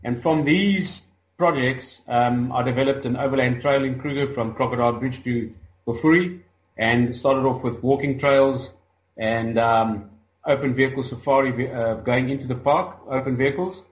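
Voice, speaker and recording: A man's voice: mid-range voice, fairly even intonation, normal speed. South African man in an echoey room. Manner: hesitant, many fillers and restarts. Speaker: guest, rhino conservationist. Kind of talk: casual interview chat.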